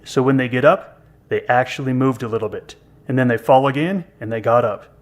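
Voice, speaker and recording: A man in his fifties co-hosts a podcast with loose, joking talk and pokes fun at himself. His voice sits at an ordinary middle pitch. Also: a little fast. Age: 50s. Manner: self-deprecating, jokey. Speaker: podcast co-host. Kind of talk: casual podcast conversation.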